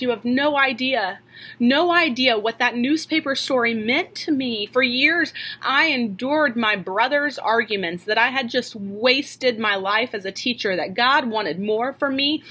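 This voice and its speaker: A woman in her thirties preaching a sermon from a home office into a webcam, earnest and questioning, slowing down to stress key lines. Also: a little high, pushed and bright. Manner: earnest, questioning, slows for emphasis. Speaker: pastor. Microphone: webcam at desk.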